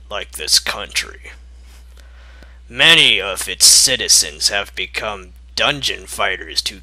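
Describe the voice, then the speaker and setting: A guarded fighting man from a fantasy story, as a male reader plays him. He speaks slowly and deliberately, with a forceful, bright edge. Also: fairly low pitch, no room sound, pitched below the performer's own voice, exaggerated voice, poor audio. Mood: baffled, grave. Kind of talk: fan fiction character reading.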